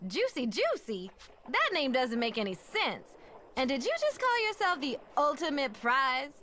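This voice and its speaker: A woman's voice: high-pitched, very animated, unhurried, noisy background. A woman playing a sassy, confident hippo in a video game, with a wry manner. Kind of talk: video game character acting.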